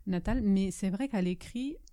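A native Italian-speaking woman from the Naples region, talking casually as an interviewed author, halting and often rephrasing. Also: mid-range voice, dark tone, dry recording, clean studio sound. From Naples region, Italy. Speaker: author and blogger being interviewed.

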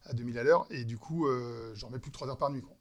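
A man's voice: mid-range voice, fast. A man in his thirties from France, an entrepreneur guest talking through his habits in a casual podcast interview, with many fillers and restarts. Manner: hesitant, full of fillers and restarts.

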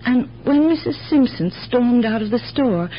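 An actress playing a kindly, wistful older aunt in a radio drama, speaking earnestly and haltingly with compassion. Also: female voice, fairly high pitch, animated, close to an everyday voice. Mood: hesitant recollection. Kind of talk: 1970s radio drama acting. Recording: muffled, noisy, humming old radio recording.

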